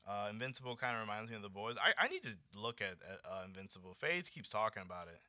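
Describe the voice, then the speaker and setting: An American man in his late twenties hosting a casual livestream, chatting with viewers in a slangy, animated, conversational way. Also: lowish voice, fast, no room sound. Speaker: livestream host. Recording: dull lo-fi streaming audio.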